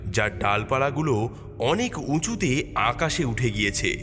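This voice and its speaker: A man's voice: medium pitch, animated, measured pace. A man narrating a scripted children's story as a storyteller. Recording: music behind the voice.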